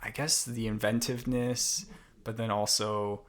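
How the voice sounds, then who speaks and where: A young man as a podcast guest, chatting in a thoughtful, unhurried way with many fillers and restarts. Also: on the low side, flat delivery. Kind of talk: casual interview conversation.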